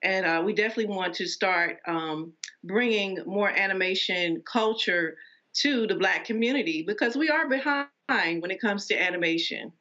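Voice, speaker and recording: Woman guest on an interview, pauses a lot, hesitant, kinda dull sounding audio. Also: mid-range voice, pushed and bright. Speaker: animation entrepreneur, interview guest. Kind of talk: conversational interview answers.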